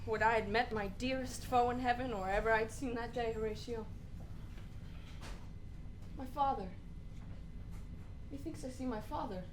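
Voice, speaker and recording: A woman's voice: a little high, fairly even intonation, slight background noise, close to an everyday voice. A woman playing a grief-stricken, bitter young prince in anguished Shakespearean verse for a radio play. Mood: wry, sorrowful. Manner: anguished, drawn-out, exclamatory verse delivery.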